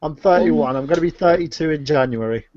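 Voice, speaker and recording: A man in his early thirties tossing out fast, jokey one-liners in a group chat. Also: a little high, darkish tone. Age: 30s. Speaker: livestream panelist. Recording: lo-fi call with crowd noise.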